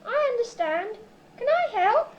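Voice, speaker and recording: An amateur putting on an earnest, gullible hero of a comic radio play, declaiming grandly in a very high voice that swings widely in pitch. The old cassette recording is muffled and theatrical delivery carries through it. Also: very animated, measured pace, slight background noise, heavily acted.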